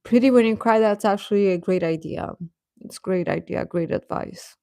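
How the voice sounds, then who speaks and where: A woman's voice: medium pitch, moderate pace, vocal fry, mellow, no echo, clean studio sound. A woman hosting a live stream, chatting casually with viewers in an informal, hesitant, self-deprecating way.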